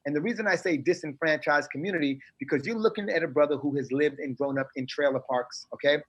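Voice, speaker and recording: A middle-aged American man, a guest on a casual podcast interview, talking in an animated, emphatic, streetwise way. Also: fairly high pitch, fast, muffled recording. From United States.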